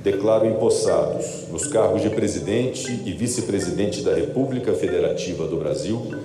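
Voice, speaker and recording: Low-voiced man making a ceremonial declaration. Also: normal speed, mellow. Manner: formal, ceremonial proclaiming. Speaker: official declaring the inauguration. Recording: very echoey hall with crowd and music.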